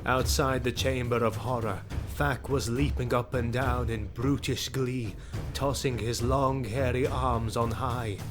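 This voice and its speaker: A man narrating an audio drama in a scripted, measured and dramatic storytelling style. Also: mid-range voice, steady tone. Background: music.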